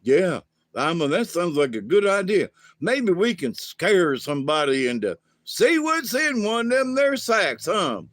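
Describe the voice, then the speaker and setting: Guy doing a dim little alien buddy, high-ish and earnest, dry close sound. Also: very animated, measured pace, fairly bright tone, no room sound, full character voice. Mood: eager. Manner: raised, earnest, folksy. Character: short dim-witted male alien sidekick.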